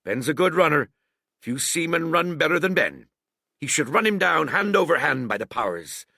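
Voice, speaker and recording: A hearty old one-legged cook, as a man puts him on, blustering. His voice swoops wildly and grinds with gravel. The sound is dry and close, with a bright, husky edge. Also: very animated, a little slow, no echo, heavily acted. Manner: blustering, booming sea-dog bluster.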